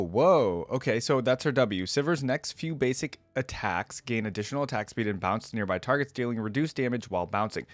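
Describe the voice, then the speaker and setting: A man's voice: mid-range voice, no echo. A man reading game lore aloud and commenting on it as an enthusiastic, casual fan. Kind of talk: expressive story reading mixed with casual reaction commentary.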